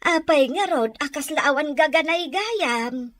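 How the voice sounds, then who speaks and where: A woman's voice: sits high, animated, slow pace, a bit bright, no echo, exaggerated voice. A woman with a Filipino Ilocano accent playing a caring, concerned middle-aged village mother in an audio drama.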